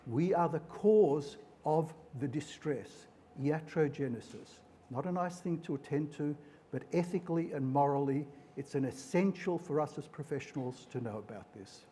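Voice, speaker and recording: Mellow Hungarian-born man giving a talk. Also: on the high side, moderate pace, breathy edge. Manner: explanatory, didactic, speaks in metaphors. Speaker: clinician presenting at a mental health forum. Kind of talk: live conference presentation.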